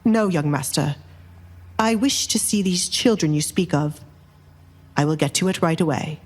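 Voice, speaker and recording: Woman playing a low, fussy old butler. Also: moderate pace, slightly rough. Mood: deferential. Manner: deferential yet scolding. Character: fussy, loyal old male butler, easily scandalized. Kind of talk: dramatized audio romance story.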